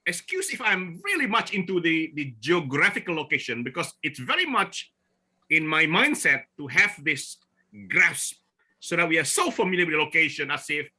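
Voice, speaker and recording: A man from Borneo gives a slide talk over a video call, his voice very bright and forceful. He speaks haltingly, doubling back on his words and filling gaps with hesitations, a touch higher than most men. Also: very animated, breathy edge. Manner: halting, with frequent restarts and fillers. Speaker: forum speaker presenting slides. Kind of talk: online presentation talk.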